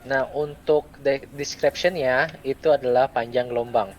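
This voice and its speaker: A young adult man gives a step-by-step software tutorial, speaking in short, halting phrases with pauses. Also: medium pitch, steady tone, poor audio. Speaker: student presenter giving a software tutorial. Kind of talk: step-by-step screen tutorial, unscripted. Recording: clear background noise.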